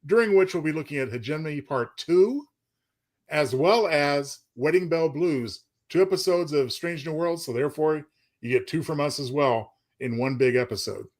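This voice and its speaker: A man's voice: on the high side, normal speed. A man co-hosting a casual livestream, genial and playful as he talks. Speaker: show co-host.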